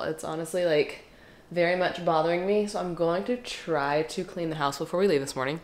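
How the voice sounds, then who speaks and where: Lifestyle vlogger, twenties, stuffy nose, slight rasp, lower pitch, chatty to camera at home. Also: female voice. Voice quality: slightly raspy. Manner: chatty and upbeat, trailing into soft asides. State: stuffy, congested. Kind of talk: casual chat to camera. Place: living room and kitchen at home. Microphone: camera at close range.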